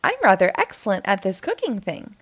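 A woman voicing an eager, boastful, easily flustered male cat in a children's storybook, in an excitable, dramatic manner. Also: medium pitch, brisk, breathy edge, heavily acted. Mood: excited, boastful. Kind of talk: animated children's storybook character reading.

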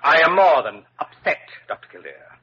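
A prissy, pompous hospital administrator who frets over every scandal, his breathy voice pitched high and swooping. It comes through a dull, lo-fi old radio broadcast. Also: big pitch swings, normal speed, pushed and bright, full character voice. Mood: fretful. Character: fussy, pompous hospital administrator man, easily flustered. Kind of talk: 1940s radio drama acting.

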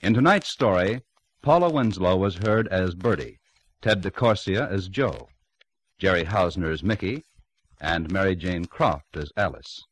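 A man's voice: low voice, expressive, measured pace, husky, dry recording, rough recording. A man announcing on 1950s network radio, reading scripted credits and promos in a formal, polished manner.

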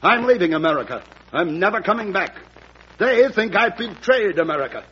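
Embittered disgraced statesman, highish voice, declamatory, old radio drama, dull hissy recording. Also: big pitch swings, normal speed, bright, forceful tone, breathy edge, heavily acted. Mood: wounded, defiant. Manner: theatrical, impassioned, declamatory. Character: bitter, proud, wounded fallen statesman accused of treason. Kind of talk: classic radio drama acting. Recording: noisy, band-limited old radio recording.

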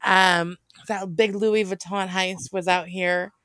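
A woman's voice: fairly low pitch, steady tone, slow, a bit bright, clean tone, dry recording. An American woman chatting casually and candidly, in an informal, rambling way with self-interruptions and some swearing. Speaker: podcast co-host.

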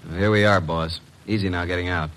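An actor playing a breezy, plain-spoken detective's assistant in an old-time radio drama. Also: male voice, sits low, fairly even intonation, quick pace, fairly bright tone. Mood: easygoing. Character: breezy, practical detective's assistant, a working man. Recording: noisy vintage radio recording.

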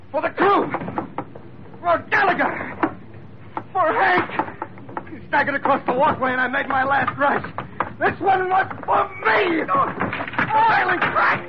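Hard-boiled captain narrator, vintage radio. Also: male voice, very high voice, very noisy, heavily acted. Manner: hard-boiled, terse, dramatic delivery. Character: tough sea captain hero, hard-boiled and hot-tempered. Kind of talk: 1940s-50s radio adventure drama acting with first-person narration. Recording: dull vintage radio recording, loud music behind.

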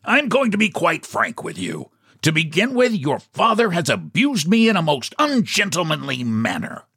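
A sly, shabby little book agent from a storybook, as a male narrator puts him on. His voice swoops widely and has a husky edge, with pompous, mock-courteous phrasing and an air of mystery. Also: a little high, wildly swooping pitch, moderate pace, bright, forceful tone, dry recording, clean studio sound, pitched above the performer's own voice, full character voice. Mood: brisk, smug. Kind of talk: storybook character voice.

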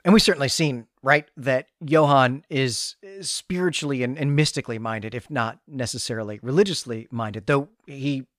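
Conversational man, halting, in a dry recording. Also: medium pitch, a bit bright, no room sound. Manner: halting, often repeats words mid-sentence. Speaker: podcast co-host. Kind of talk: conversational literary discussion and recap.

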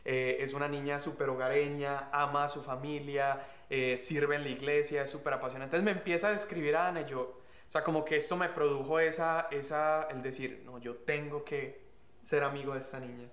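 A man's voice: fairly high pitch, fairly even intonation, a little fast, a bit bright, reverberant. A young man telling a shared love story in an easygoing, affectionate way. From Colombia. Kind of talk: casual storytelling.

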